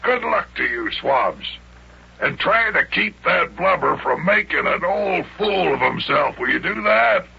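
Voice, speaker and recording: A hearty, scornful old sea captain with a very rough, gravelly voice. He drags out his salty sailor talk with swooping pitch, on a muffled old radio serial. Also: sing-song, a little slow, sharp tone, full character voice. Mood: gruff farewell. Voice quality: gravelly, raspy.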